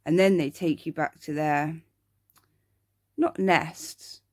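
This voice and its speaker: A woman's voice: deep voice, fairly even intonation, takes it slowly, no room sound, clean studio sound. A woman voicing a precocious, earnest little boy, matter-of-fact and knowing, in an ASMR bedtime story. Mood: solemn. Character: precocious, earnest little boy who loves scary monsters.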